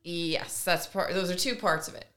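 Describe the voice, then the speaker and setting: A woman's voice: fairly low pitch, moderate pace, fairly bright tone. A woman co-hosting a talk podcast, explaining her points conversationally and voicing imagined speech as examples. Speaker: podcast co-host. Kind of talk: conversational commentary.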